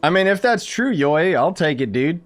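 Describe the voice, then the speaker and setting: A man's voice: on the high side, very animated, moderate pace, soft background music. A young man giving offhand, slangy live gameplay commentary while chatting to his viewers. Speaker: game streamer.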